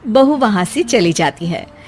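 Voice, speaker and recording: A woman's voice: medium pitch, expressive, unhurried, a little mellow, slight background noise. A woman narrating a scripted cartoon story as its storyteller.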